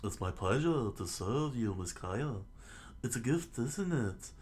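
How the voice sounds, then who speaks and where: A man's voice: mid-range voice, animated, unhurried, darkish tone, voice pushed up, close to an everyday voice. A man voicing a courteous, devoted butler with a mock British accent and formal manner. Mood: deferential. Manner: formal, deferential.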